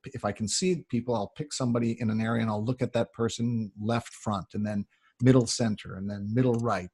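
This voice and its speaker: A Canadian man coaching on public speaking, explaining things in an emphatic, conversational way with a slightly husky voice. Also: mid-range voice, a little mellow. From Canada (Ontario). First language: English. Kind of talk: conversational advice answering audience questions.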